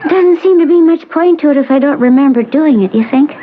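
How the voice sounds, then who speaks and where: A woman's voice: high voice, brisk, natural voice. An actress playing a dreamy, trusting, childlike young woman under hypnosis in an old-time radio drama, vague and compliant. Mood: dreamy, docile. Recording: narrow lo-fi vintage radio with noise.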